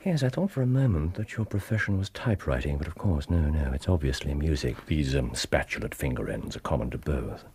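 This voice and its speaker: A man's voice: a bass voice, animated, a little fast, few pauses, darkish tone, slight background noise. An actor playing a brusque, aloof male detective who makes dry deductions in a radio drama. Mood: deducing.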